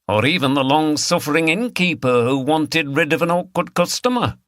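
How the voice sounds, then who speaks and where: A man's voice: fairly high pitch, moderate pace, a bit bright, no room sound, clean studio sound, pitched above the performer's own voice, natural voice. A man voicing a confident, wry pub joker who teases his companions in an audiobook. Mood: teasing. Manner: teasing, wry.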